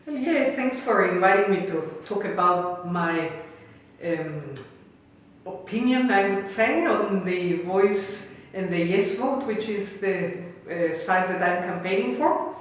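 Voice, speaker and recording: A woman in her fifties with a Guatemalan Spanish accent tells her story in earnest, formal phrasing. Her voice sits at a middle pitch and rings through a very echoey room. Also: expressive, takes it slowly. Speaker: interview guest, campaigner. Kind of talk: prepared personal story, read aloud.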